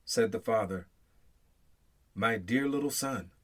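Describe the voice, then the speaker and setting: A man reading scripture aloud in English in a solemn, measured way, with deliberate phrases that open with emphasis and fall away at the end. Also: lowish voice. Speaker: scripture reader.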